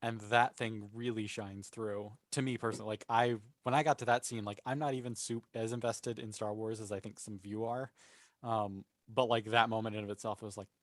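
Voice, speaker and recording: A man's voice: on the low side, steady tone, a little fast, no room sound. A man speaking earnestly and emphatically as a panelist in a casual fan discussion. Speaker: podcast panelist.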